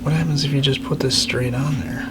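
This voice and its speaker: A man's voice: mid-range voice, steady tone, a little fast. A man talking casually while working, guiding a helper through a craft with exclamatory, encouraging remarks. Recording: noisy room, clear background noise.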